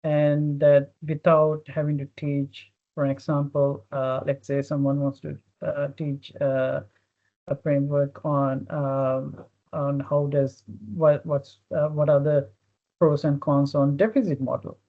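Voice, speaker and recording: A woman's voice: low-pitched, little pitch movement, slow pace. Woman from Sri Lanka on a lo-fi call. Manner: thoughtful, repeats words while searching. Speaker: panelist. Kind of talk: panel discussion.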